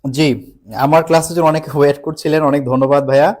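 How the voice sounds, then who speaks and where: A man's voice: on the high side, level tone, fast talker, darkish tone. A man teaching an online English grammar class for exam preparation. He explains patiently, repeats key points and puts questions to his students. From Bangladesh.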